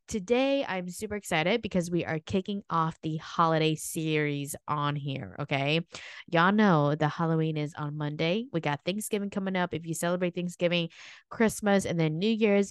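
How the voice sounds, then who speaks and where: A woman's voice: low-pitched, clear, resonant tone, no room sound, studio quality. A woman hosting her own podcast as a weight loss coach, chatting casually and upbeat, with a stuffy nose. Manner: chatty, upbeat, frequent "like" and "okay?". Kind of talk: casual solo podcast talk.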